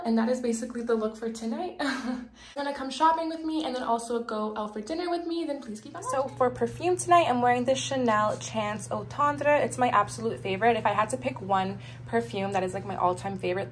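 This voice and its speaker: A woman's voice: fairly high pitch, fairly quick, echoey room, a little hiss or hum. A woman in her twenties chatting casually to her phone camera in a mirror, bubbly and full of "like" and "you guys". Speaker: lifestyle vlogger. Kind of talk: casual vlog chat to camera. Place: small indoor hallway.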